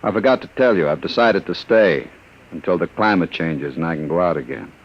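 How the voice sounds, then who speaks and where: An actor playing a smooth, menacing gangster who talks in a cold, mocking way in a 1950s radio drama. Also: male voice, low voice, a little fast. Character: smooth menacing male gangster, middle-aged. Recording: muffled old radio broadcast.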